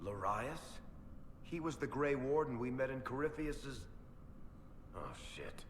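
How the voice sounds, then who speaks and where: An actor playing a wry, world-weary rogue storyteller in a video game, with a reproachful manner. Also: male voice, mid-range voice, unhurried, natural voice. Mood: grim, reproachful. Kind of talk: video game dialogue acting. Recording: echoey hall reverb, faint background noise.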